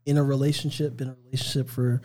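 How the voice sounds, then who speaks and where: A man in his twenties, a guest on a casual podcast, speaking in a measured, thoughtful way with many ums. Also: medium pitch, little pitch movement, mellow. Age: 20s. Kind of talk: casual chat.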